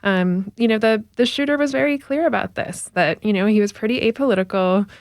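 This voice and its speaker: Woman professor, analytical, many fillers, smooth clear tone, dry close sound; radio guest. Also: mid-range voice, a little fast, dry recording. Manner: animated, analytical, frequent fillers, laughing asides. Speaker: university professor and researcher. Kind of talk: radio interview.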